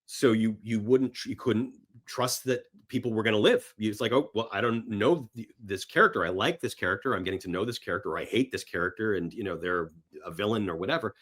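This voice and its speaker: A man chatting casually and enthusiastically as a podcast guest about films, halting and rambling, sometimes voicing imagined reactions. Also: on the low side, a bit bright. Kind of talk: casual film talk in an interview.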